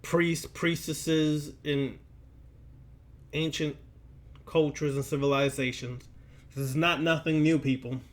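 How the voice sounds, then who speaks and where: A man lecturing like a preacher, speaking deliberately and emphatically, with repetition and rhetorical questions. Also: on the high side, steady tone. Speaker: occult lecturer.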